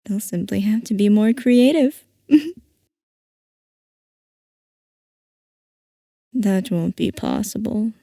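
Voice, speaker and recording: A woman playing a playful, possessive scientist boss who dotes on her assistant, in a soft, coaxing ASMR roleplay. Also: medium pitch, unhurried, vocal fry, dry recording, studio quality. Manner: soft, coaxing, affectionate villainy.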